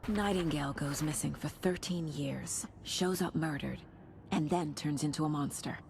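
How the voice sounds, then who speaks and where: Focused female fbi agent in a game, lowish voice, bit of fry. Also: moderate pace, background noise. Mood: focused, businesslike. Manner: businesslike, thinking aloud. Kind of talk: cinematic video game acting.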